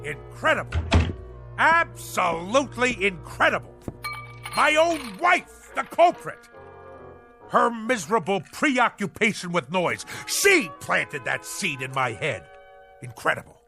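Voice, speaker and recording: An actor in a radio drama playing a pompous, manic husband obsessed with the navy, declaiming in grandiose nautical bombast. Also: male voice, sits high, big pitch swings, takes it slowly, gravelly, sharp tone, faint music behind, full character voice. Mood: muttering, incredulous. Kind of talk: theatrical radio drama acting.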